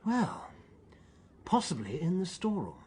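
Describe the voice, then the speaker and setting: An actor playing a stiff, guarded school headmaster in a radio drama, formal and clipped. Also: male voice, high-pitched, huge pitch swings, a little slow, a little mellow, slight background noise, heavily acted. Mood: guarded, polite. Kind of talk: British radio drama acting.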